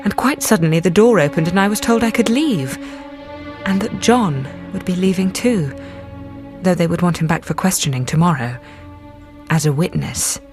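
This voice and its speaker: Low-voiced, earnest woman, acted, reflective. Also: animated, normal speed, over music, lightly acted. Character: earnest, tender woman doctor recalling events in her journal. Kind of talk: radio drama acting with reflective diary narration.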